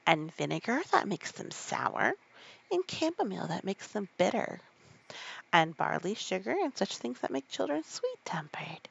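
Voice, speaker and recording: A woman's voice: medium pitch, big pitch swings, normal speed, vocal fry, fairly bright tone, breathy edge, highs cut off, exaggerated voice. A woman voicing a polite, curious little girl in a storybook read-aloud. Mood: musing. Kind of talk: sleepy read-aloud of a children's classic.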